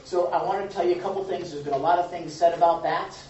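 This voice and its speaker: A man's voice: a little high, steady tone, quick pace, a little mellow, slight background noise. A man leading an informal Bible study, talking to the group and asking questions, with a frequent chuckle. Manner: conversational, asks the group questions, chuckles often. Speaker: pastor. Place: church room with a group. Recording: echoey room from far off, dull and lo-fi.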